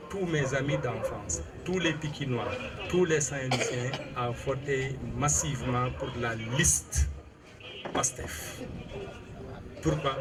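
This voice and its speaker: Measured older man with steady hesitant delivery. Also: medium pitch, low-quality recording. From Senegal. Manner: measured, formal, hesitant with many fillers. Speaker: retired civil administrator and local politician. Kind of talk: press statement answering an interviewer.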